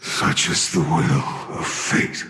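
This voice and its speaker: An actor playing a wrathful fire titan boss in a video game, with booming, menacing proclamations. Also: male voice, slow pace, pushed and bright. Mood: solemn. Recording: long reverb over game music.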